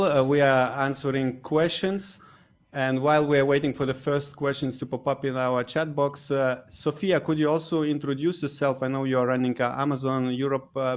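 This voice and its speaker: Casual man hosting a live Q&A. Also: mid-range voice. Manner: casual, with many fillers and restarts. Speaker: webinar host.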